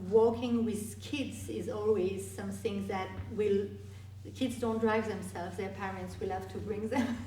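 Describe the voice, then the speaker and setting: A woman, a guest speaker answering audience questions in a halting way with many restarts. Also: mid-range voice, warm tone, a little hiss or hum. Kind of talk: live Q&A presentation. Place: meeting hall. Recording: echoey hall from far off.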